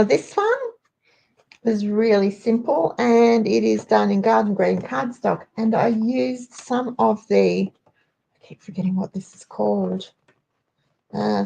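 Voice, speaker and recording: A woman hosting a casual live crafting tutorial, chatty and rambling, with a croaky voice today. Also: mid-range voice, mellow, rough recording. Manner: chatty, rambling, greets viewers by name. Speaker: crafting host.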